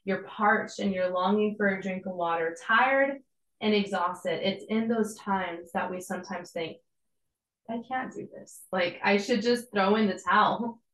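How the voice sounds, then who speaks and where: Slightly hoarse young woman preaching. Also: mid-range voice, measured pace, a bit bright, reverberant, poor audio. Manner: encouraging, conversational preaching with casual asides. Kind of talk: devotional sermon.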